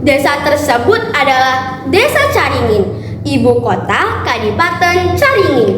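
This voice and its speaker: A woman telling a folktale as scripted narration, reciting it in a deliberate, expressive way. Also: high voice. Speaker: storytelling contestant. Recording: roomy echo with clear background noise.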